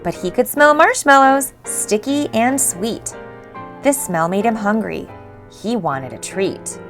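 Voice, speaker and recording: A woman reading a rhyming picture book aloud for children in an expressive, measured storytelling style. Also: on the high side. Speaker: storybook reader. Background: faint background music.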